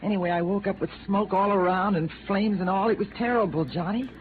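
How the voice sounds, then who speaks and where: A woman playing a scared, defensive, sharp-tempered widow in dramatic 1950s radio style. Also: mid-range voice, steady tone, brisk, lightly acted. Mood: uneasy, subdued. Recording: tinny noisy vintage radio recording.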